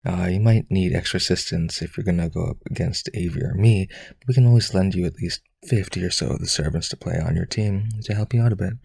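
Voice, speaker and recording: A man playing a lonely, rich vampire aristocrat in an audio roleplay, eager to please, hesitant and pleading, with a husky, creaky voice. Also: sits low, quick pace, a little mellow, no echo, full character voice. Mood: eager, coaxing.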